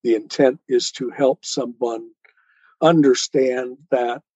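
A man speaking as an interviewed author in an earnest, measured, deliberate and reflective way. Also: medium pitch, steady tone, dark tone, breathy edge, no room sound.

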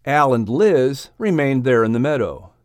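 A man's voice: medium pitch, lively intonation, measured pace, no room sound, clean studio sound. A man narrating a children's audiobook from a script.

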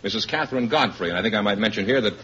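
A man's voice: on the low side, animated, rapid-fire, a bit bright. An American man working as announcer and sidekick on a mid-century radio show. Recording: noisy dull old radio recording.

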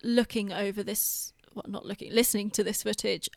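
British female, mid-pitched, informal podcast chat, lightly self-deprecating, dry sound with little room. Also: steady tone, a little fast, gravelly, no echo. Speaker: podcast host and theatre director.

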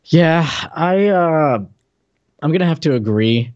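A man's voice: on the high side, expressive, slow, darkish tone, no echo. Man podcasting on a dull, dry mic. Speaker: podcast host. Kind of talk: casual chat, game review.

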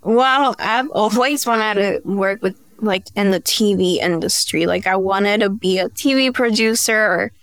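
A woman from the Dominican Republic talks casually as a guest in an interview. She is a native Spanish speaker with a mid-pitched voice. The sound is dry, with hardly any room to it. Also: unhurried, few pauses, no room sound. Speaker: podcast guest, beauty brand founder.

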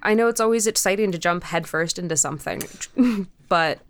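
A woman's voice: lowish voice, fairly quick. A woman speaking casually and frankly as a guest creator in a podcast interview.

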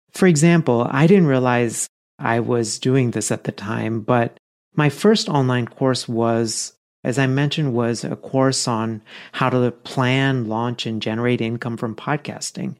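A man's voice: mid-range voice, steady tone, a little slow, darkish tone. A man hosting a solo podcast episode, talking in an earnest, conversational way with frequent fillers and self-corrections. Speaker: podcast host.

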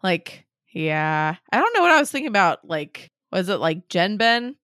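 Lively, laughing young woman podcaster. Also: fairly low pitch, normal speed, dry recording, studio quality. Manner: laughing, loose, swearing freely. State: recovering from a cold, voice a bit off. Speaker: podcast co-host. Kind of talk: casual chat.

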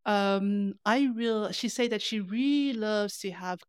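A woman's voice: mid-range voice, measured pace, clear, resonant tone, dry recording, studio quality. A woman co-hosting a podcast in casual conversation, explaining things hesitantly and often repeating words as she goes. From lives in Paris. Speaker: podcast co-host.

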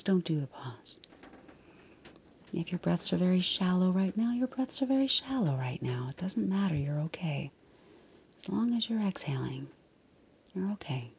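Low, breathy woman guiding meditation. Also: lo-fi sound. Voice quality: soft, breathy, often near whisper. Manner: soothing, unhurried, gently reassuring. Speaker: guided meditation host. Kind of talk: guided meditation narration.

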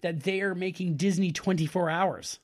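A man's voice: high-pitched, fairly even intonation, normal speed. A man co-hosting a podcast, joking and swearing through casual, exclamatory film commentary. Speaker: podcast co-host.

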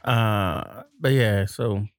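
A man's voice: fairly low pitch, drawn-out words, a little hiss or hum. A man co-hosting a casual chat, teasing his partner in a laid-back, slangy way. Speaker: podcast co-host.

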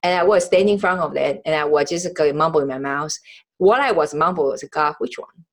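Female podcast host from Taiwan, lower register, conversational storyteller, halting non-native English with searching pauses. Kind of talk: conversational interview, personal storytelling.

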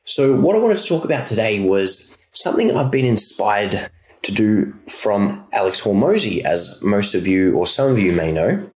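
Australian male podcast host, very low voice, getting over a throat infection, recorded in a roomy space. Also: big pitch swings, normal speed, a little mellow. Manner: chatty and rambling. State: recovering from a sore throat and chest infection. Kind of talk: casual solo podcast talk.

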